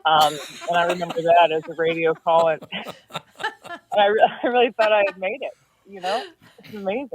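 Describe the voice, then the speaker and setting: A woman's voice: on the low side, steady tone, moderate pace, long pauses. A woman who works as a news reporter and grew up in Minnesota, a guest on a casual talk show. She is chatty, with lots of fillers and self-deprecating asides. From Minnesota, USA. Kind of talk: casual chat. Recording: tinny, dry phone line.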